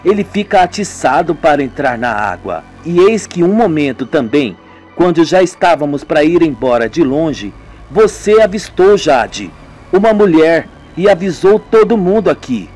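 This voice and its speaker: A man reading a thoughtful young man who slowly recounts a strange night at the beach. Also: fairly high pitch, very animated, a little mellow, soft background music. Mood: pensive. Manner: pensive, recounting.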